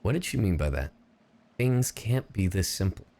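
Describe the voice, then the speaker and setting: A man livestreaming casual game commentary, excitable and reactive, swearing freely. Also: low voice, moderate pace, a little hiss or hum.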